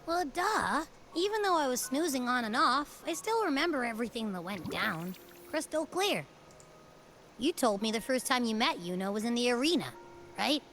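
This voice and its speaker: A woman voicing a peppy, loyal young girl companion in a video game, with a casual, slangy, expressive way of talking. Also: high voice, moderate pace, faint music behind, heavily acted. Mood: casual, reflective. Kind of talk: video game story dialogue.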